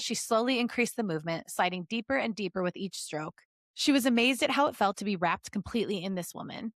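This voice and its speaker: A woman podcast host reading fan fiction aloud as an expressive story narrator. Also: medium pitch, no echo, studio quality.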